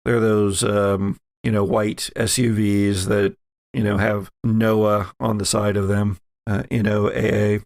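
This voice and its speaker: A man narrating a tabletop horror game as game master, setting scenes in a halting, deliberate way. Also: lowish voice, little pitch movement, vocal fry, darkish tone, dry recording.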